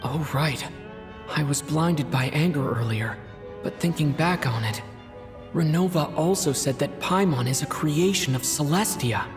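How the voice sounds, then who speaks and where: Man as young game traveler, calm, reflective, medium pitch, reverberant, clear music behind. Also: a little slow, natural voice. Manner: polite, reflective. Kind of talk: video game cutscene acting.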